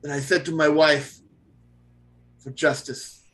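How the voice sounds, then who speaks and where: A wronged Italian immigrant father, played by a man in a film script read aloud. His voice sits somewhat high, halting and full of feeling, pleading for vengeance. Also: flat delivery, a bit bright. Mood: bitter outrage. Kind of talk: live table read of a film screenplay.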